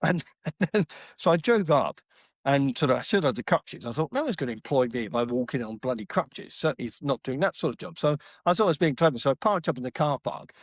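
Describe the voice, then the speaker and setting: A middle-aged man with a mid-pitched voice tells blunt, slangy stories in a casual interview. He restarts his sentences often and stumbles through fillers. The recording is close and dry. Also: expressive, quick pace, no room sound. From Britain. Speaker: podcast guest.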